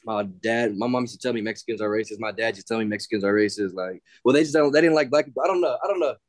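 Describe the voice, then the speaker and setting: A young man from the San Francisco Bay Area speaks up in a class held over a video call. His voice sits low and sounds dry and close. He talks in a blunt, slangy, animated way. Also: rapid-fire, no echo. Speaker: student. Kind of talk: casual class discussion.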